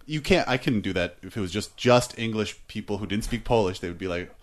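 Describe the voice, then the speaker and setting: A man's voice: lowish voice, fairly quick. A man who is a comedian, chatting casually, easygoing, with many yeahs and likes, laughing along. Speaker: stand-up comedian. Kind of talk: casual chat.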